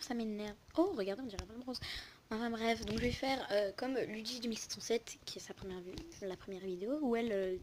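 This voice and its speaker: A woman's voice: fairly high pitch, a little mellow. A young woman casually commentating a game lookbook as host, rambling and interrupting herself, on a poor recording with noise and clicks. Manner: rambling, informal, self-interrupting. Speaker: video host.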